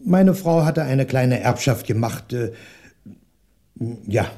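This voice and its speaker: An actor playing a polite, evasive French shopkeeper and ex-detective in a 1960s German radio crime drama, courteous but hesitant and stalling. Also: male voice, mid-range voice, animated, slow, mellow.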